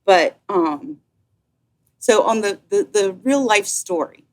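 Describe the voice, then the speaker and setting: A woman's voice: mid-range voice, animated, slow. A woman speaking as a guest yacht sales representative, chatting casually and enthusiastically as she tells a story. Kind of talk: casual chat, telling an anecdote.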